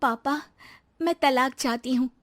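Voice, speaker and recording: A woman voicing an earnest young daughter-in-law in a moral story, gentle but determined, with an imploring way of speaking. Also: sits high, unhurried, voice pushed up. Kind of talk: animated moral story character acting.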